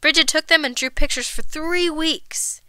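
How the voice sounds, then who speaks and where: A woman reading a children's storybook aloud as a storyteller, expressive and emphatic. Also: sits high, moderate pace, dry recording. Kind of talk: animated storybook read-aloud.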